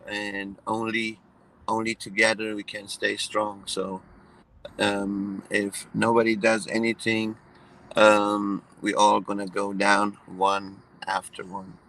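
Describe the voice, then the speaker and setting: Man from Iran, gravelly low voice, slow hesitant speech, bright tone. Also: monotone, low-quality recording. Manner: hesitant, many fillers and restarts. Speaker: guest. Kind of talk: casual conversation.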